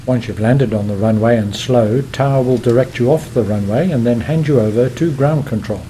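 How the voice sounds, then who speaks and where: A man's voice: medium pitch, normal speed, mellow, slight background noise, rough recording. A man narrating an instructional tutorial as the host of a flight simulation channel.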